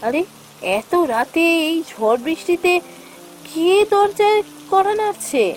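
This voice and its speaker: A woman's voice: very high voice, very animated, unhurried, faint music behind, exaggerated voice. A woman playing an anxious, pleading village woman in a fairy-tale cartoon. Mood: worried, surprised.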